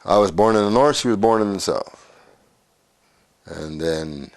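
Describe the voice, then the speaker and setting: A man in his sixties from Canada, recalling his life story in a documentary interview, unhurried and halting with frequent pauses. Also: fairly low pitch, no echo, studio quality. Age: 60s.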